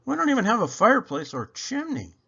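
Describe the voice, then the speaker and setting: A man's voice: very high voice, huge pitch swings, brisk, vocal fry, pushed and bright, breathy edge, lo-fi sound, voice pushed up, heavily acted. A man voicing a spirited, skeptical young girl in a children's storybook.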